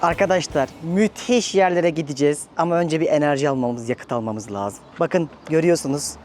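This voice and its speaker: A man's voice: on the high side, a little fast, darkish tone, soft background music. A man hosting a casual travel vlog, talking informally and directly to viewers. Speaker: travel vlogger, host. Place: outdoors and on the road. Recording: noisy outdoor audio with traffic ambience.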